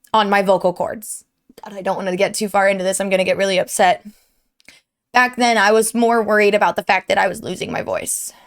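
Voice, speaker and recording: A young woman with a sore, strained throat giving an earnest, rambling update to her viewers from her desk at home. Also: medium pitch. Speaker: streamer and YouTuber. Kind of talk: candid personal update to viewers.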